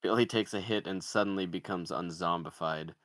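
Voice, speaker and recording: Very deep male screenplay narrator. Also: brisk, fairly bright tone, no room sound, clean studio sound. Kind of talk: reading screenplay stage directions.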